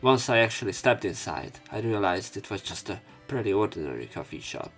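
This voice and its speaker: Low-voiced man narrating a game. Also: sing-song, a little fast, a bit bright, soft background music. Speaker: let's play host. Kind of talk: narration and commentary of a visual novel.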